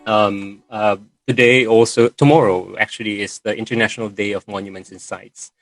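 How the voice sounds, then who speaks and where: Guy hosting a video call, lowish voice, lots of uhs and ums, dry sound. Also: steady tone, moderate pace, fairly bright tone, no echo, soft background music, low-quality recording. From Philippines. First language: Filipino. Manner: hesitant, many fillers and restarts. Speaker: session host and moderator. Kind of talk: online meeting presentation.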